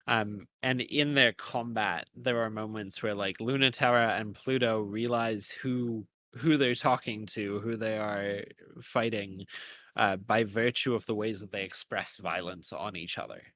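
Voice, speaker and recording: A man's voice: on the low side, steady tone, measured pace, bright, forceful tone, slight background noise. A man co-hosting a conversational, analytical discussion in a thoughtful way, sometimes searching for words. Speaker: podcast co-host.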